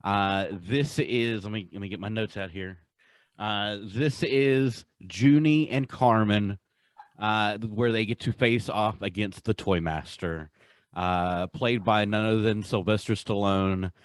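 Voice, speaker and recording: A man's voice: fairly low pitch, a little slow, no room sound. A young man co-hosting a podcast in casual chat, rambling and stammering as he repeats words. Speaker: podcast co-host.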